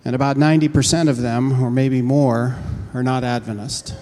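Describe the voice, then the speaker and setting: Mellow-voiced man telling a church story. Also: mid-range voice, fairly even intonation, normal speed. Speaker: church member sharing a story. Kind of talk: informal church testimony. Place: church hall. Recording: long hall reverb.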